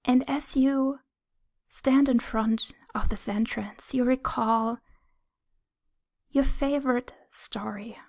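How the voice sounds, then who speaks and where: A woman guides a meditation in a soft, whispery voice that is breathy and fairly high for a woman. She speaks very slowly in short phrases, leaving long pauses between them. Her delivery is gentle and soothing. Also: steady tone.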